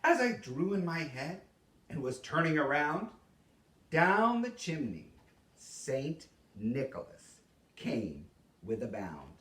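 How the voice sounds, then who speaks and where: A man giving a theatrical poetry recitation as a storyteller, with dramatic, expressive delivery and deliberate pauses. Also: fairly high pitch. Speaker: storyteller reading a Christmas poem. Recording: roomy echo, distant microphone.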